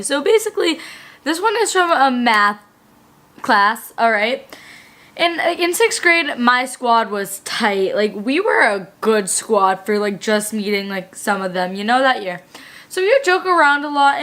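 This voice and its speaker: A woman's voice: fairly high pitch, fairly bright tone. A woman vlogger chatting casually and reading out old school emails, giggly and rambling, full of fillers.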